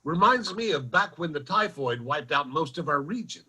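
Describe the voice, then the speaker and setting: A man's voice: on the high side, lively intonation, normal speed, pushed and bright, husky, full character voice. A man playing a folksy, bewildered nineteenth-century general store owner in a radio drama, with plain-spoken country talk. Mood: sympathetic, earnest. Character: old-time West Virginia general store proprietor from the 1800s, folksy, bewildered. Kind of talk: old-time radio drama acting.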